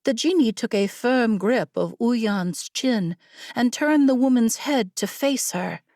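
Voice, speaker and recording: A woman narrating a fantasy audiobook with measured, suspenseful, dramatic storytelling. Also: on the high side, lively intonation, mellow, dry recording, clean studio sound. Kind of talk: dramatic scripted fiction narration.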